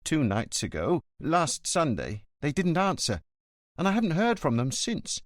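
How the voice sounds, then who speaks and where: A frightened, pleading son, as a male narrator voices him, pitched higher than the reader's own voice and lively in tone. He sounds anxious, his words near cracking. The sound is close and dry, as in a read-aloud crime story. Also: unhurried, no echo. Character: anxious worried grown son, middle-aged man. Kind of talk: audiobook character voice.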